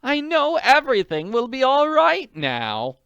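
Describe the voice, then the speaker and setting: A high, quavering, fretful society lady, dragging out every word in breathless pleas. Her pitch swoops wildly, and the close mic leaves the sound dry. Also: wildly swooping pitch, fairly bright tone, clean tone, no echo, highs cut off, heavily acted. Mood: distressed. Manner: breathless, drawn-out, pleading. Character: agitated elegant middle-aged woman, fretful and tearful. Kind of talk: audiobook character voice.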